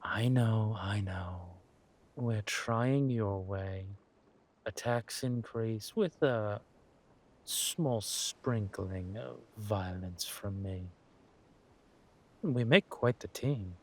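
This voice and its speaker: A man playing a suave, ruthless villain king in an audio roleplay, murmuring affectionately to his wife with halting, teasing hums. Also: fairly low pitch, darkish tone, heavily acted. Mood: confident, scheming. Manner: slow, halting, purring hums and teasing drawl. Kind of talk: villain roleplay audio drama, intimate monologue to a partner.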